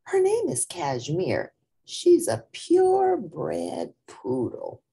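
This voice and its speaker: A woman's voice: fairly low pitch, wildly swooping pitch, drawn-out words, creaky, dark tone, a little hiss or hum, pitched above the performer's own voice, full character voice. A woman voicing a boastful young schoolgirl bully in a children's story, with a haughty, taunting manner.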